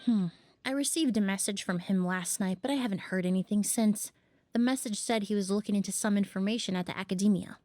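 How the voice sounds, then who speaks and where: A woman voicing a calm, analytical female perfumer and researcher in a game. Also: mid-range voice, natural voice. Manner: measured, analytical. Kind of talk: game voice acting.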